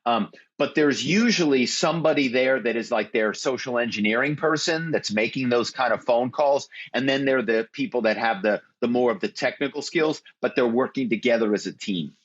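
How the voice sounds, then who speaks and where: A man's voice: medium pitch, moderate pace, few pauses. A man, a guest in a conversational interview, explaining how phone tricks get people to give away information. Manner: explanatory, uses phone-call anecdotes. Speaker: guest, former corporate spy.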